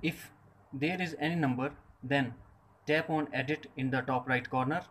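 A man's voice: mid-range voice, moderate pace, fairly bright tone. A man reading a scripted step-by-step tech tutorial in short, separated instructional phrases. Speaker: tutorial presenter.

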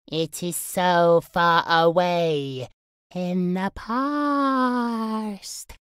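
A woman's voice: fairly low pitch, very slow, smooth, no echo, clean studio sound. A woman playing a gleeful, sinister demonic entity in a horror audio roleplay, taunting in a singsong, menacing way. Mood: slow taunting.

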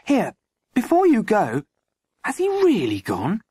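A feisty, chatty hotel woman as an audiobook narrator plays her, pitched well above the storytelling voice. She is loud, flustered and indignant, swooping through her gossip. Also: big pitch swings, unhurried, no echo. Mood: astonished.

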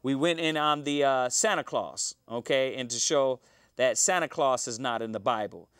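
A man in his thirties hosts a live cable talk show from a small studio. His voice is smooth and clear at a middling pitch, and the sound is dry and close. He rambles, restarting often with fillers. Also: moderate pace, fairly bright tone, no room sound. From Houston, Texas. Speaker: host.

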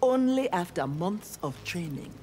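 Earnest game warrior, deep female voice, formal, resolute, stern. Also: very animated, measured pace, a little mellow. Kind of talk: fighting game character dialogue. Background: game music.

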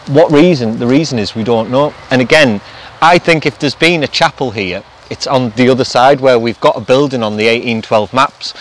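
A man's voice: medium pitch, a bit bright. Man musing outdoors in woods. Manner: speculative, explaining as he goes. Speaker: vlog host restoring a château. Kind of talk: casual walk-and-talk vlog narration. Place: outdoors in a forest.

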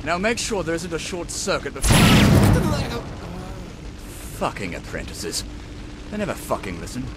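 High-pitched, scornful veteran workman. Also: wildly swooping pitch, normal speed, heavy background noise. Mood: grumbling. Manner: mocking, exclamatory, exasperated. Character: scornful, sarcastic, hot-tempered veteran workman. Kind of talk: video game character dialogue.

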